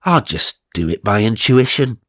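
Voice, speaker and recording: Man playing an excitable British wife, pitched up. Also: moderate pace, no echo, clean studio sound. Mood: breezy, eager. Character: excitable, scolding wife and amateur tarot reader, thirties.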